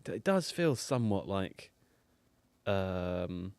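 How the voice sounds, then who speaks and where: A man's voice: low-pitched, very animated, darkish tone, clean tone, dry recording. A man hosting a live football watchalong, chatty and playful, talking through the match with his co-hosts in a studio. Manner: chatty, playful, quick to joke. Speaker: host.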